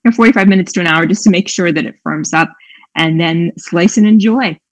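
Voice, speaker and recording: A woman's voice: on the low side, fairly quick. A middle-aged woman, a cookbook author, chatting about recipes from her home kitchen on a video call, with frequent fillers. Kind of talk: conversational cooking demo. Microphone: webcam on a video call.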